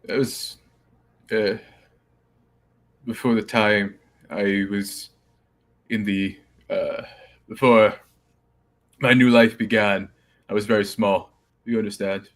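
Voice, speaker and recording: A man taking part as a player in a tabletop role-playing game, speaking in character in an unhurried, halting way. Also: fairly low pitch, fairly even intonation.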